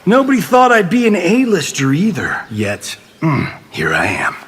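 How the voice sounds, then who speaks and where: An actor playing a cocky, wisecracking Hollywood action star in a video game, with a flippant, showy, casual manner. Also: male voice, fairly high pitch, wildly swooping pitch, normal speed, a bit bright, a little hiss or hum. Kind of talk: video game character dialogue.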